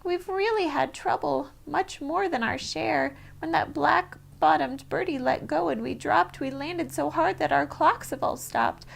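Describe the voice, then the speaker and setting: A woman voicing a tiny, cheerful and grateful male town mayor with a high, piping, eager manner. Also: unhurried, creaky, few pauses, full character voice. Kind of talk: storybook read-aloud.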